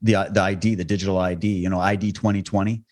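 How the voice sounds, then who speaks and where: A man's voice: level tone, racing, a little mellow. A man from Alberta, talking as a guest on a podcast interview in a fervent, impassioned way. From Canada (Alberta). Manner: fervent, impassioned, rising in pitch when stirred.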